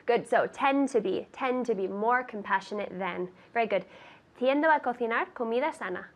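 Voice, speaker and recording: A woman's voice: a little high, fairly quick. A woman giving an interactive English lesson, encouraging and repeating words to model pronunciation. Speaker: English teacher and presenter. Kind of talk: interactive language lesson, prompting and correcting.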